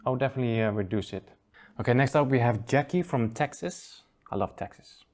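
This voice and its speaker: A man's voice: mid-range voice, a little fast, a little mellow. A man hosting a casual review show, easygoing and chuckling often as he trails off and restarts sentences. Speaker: aquascaping channel host.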